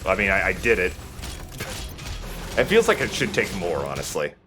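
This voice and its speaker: Animated low-voiced man doing gaming commentary. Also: moderate pace, background noise. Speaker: let's play host and player. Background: game music.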